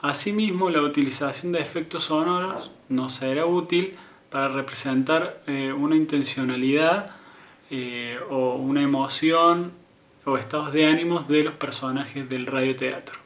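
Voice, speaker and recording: A man's voice: on the high side, steady tone, moderate pace, a bit bright. A man formally presenting a school project as a teacher. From Argentina.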